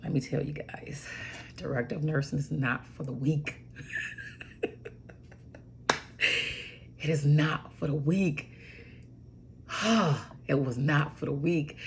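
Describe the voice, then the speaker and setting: A hoarse woman in her forties with a raspy voice, rambling through a casual story with lots of fillers and "right?" asides. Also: low voice, fairly even intonation, a little slow, pauses often, a little hiss or hum. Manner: rambling, goes off on tangents with many fillers; emphatic, keeps asking "right?". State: hoarse from bronchitis. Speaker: registered nurse.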